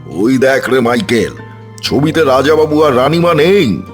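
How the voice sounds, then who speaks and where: A man's voice: medium pitch, expressive, a little slow, soft background music, natural voice. A man voicing a cowardly, bewildered uncle in a horror cartoon, in a nervous, comic, exclaiming way. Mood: alarmed, baffled. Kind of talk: cartoon horror story acting.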